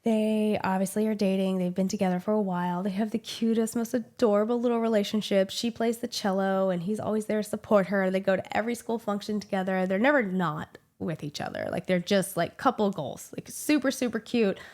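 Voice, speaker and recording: A woman's voice: medium pitch, fairly even intonation, a little fast, few pauses, darkish tone. A woman in her thirties hosting a casual book review channel, chatty and gushing, her voice a little off from a small cold. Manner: chatty and gushing, many "like" fillers.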